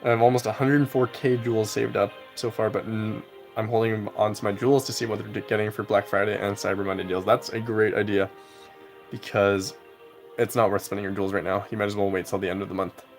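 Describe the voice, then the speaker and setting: A young man streaming a game, chatting casually with viewers in a relaxed, rambling way full of filler words. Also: on the low side, brisk, faint music behind. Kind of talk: casual live-stream chat while gaming.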